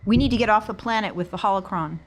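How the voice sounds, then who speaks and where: A woman's voice: mid-range voice, fast. A woman playing a tabletop game, speaking in character in her own voice, earnest and plain-spoken.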